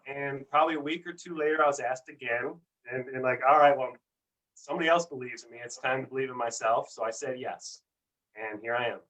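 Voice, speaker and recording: A man's voice: medium pitch, fairly quick, fairly bright tone, far from the mic, low-quality recording. A man telling his own story as a guest in casual chat, earnest and rambling, stumbling over repeated words.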